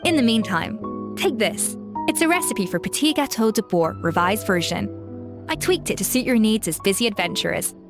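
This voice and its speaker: An actress playing a poised female chef in a video game, speaking in a calm, measured, articulate way. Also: mid-range voice, music in the background, lightly acted.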